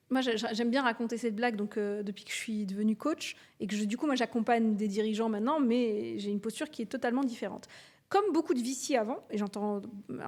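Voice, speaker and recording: A woman's voice: a little high, darkish tone. A woman who is an executive coach, hosting a casual podcast interview, chatty and thinking aloud as she builds her questions. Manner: conversational, frequent listening murmurs, thinks aloud.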